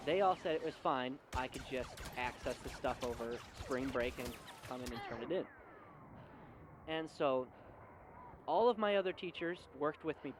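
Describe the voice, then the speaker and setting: A man's voice: mid-range voice, moderate pace, noisy background. A young man telling a personal story as a casual, emphatic, exasperated rant. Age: young adult. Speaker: video storyteller.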